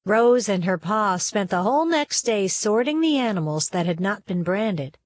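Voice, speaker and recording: A woman reading a children's story aloud as narrator, in a folksy, expressive storytelling manner with dramatic emphasis. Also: mid-range voice, moderate pace, dry recording.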